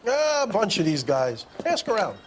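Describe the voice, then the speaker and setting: An actor playing a blunt, irritable barkeeper in a 1940s crime game, dismissive in manner. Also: male voice, high voice, wildly swooping pitch, moderate pace, a little hiss or hum. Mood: irritated. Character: gruff, irritable male bar keeper.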